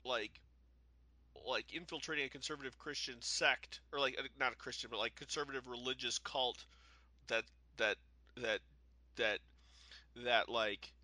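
A man's voice: mid-range voice, normal speed, pauses often, pushed and bright, no echo. A man co-hosting a podcast in casual chat, rambling with lots of "like" and restarting his sentences. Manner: rambling, full of "like", trails off and restarts. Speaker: podcast co-host. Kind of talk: casual chat about a TV show.

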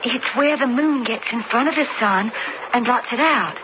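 A woman's voice: a little high, moderate pace, close to an everyday voice. An actress playing a devout, tender young frontier woman in a radio drama western. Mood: tender, hushed. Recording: noisy muffled old radio broadcast.

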